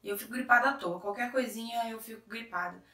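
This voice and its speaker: A young Brazilian woman with a hoarse cold voice, chatting to camera as a vlogger in a chatty, self-interrupting way. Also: a little high, fast talker, pushed and bright, distant mic. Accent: Brazilian Portuguese. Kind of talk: casual chat to camera. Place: small room indoors. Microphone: camera in front at medium distance. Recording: echoey room, a little off-mic.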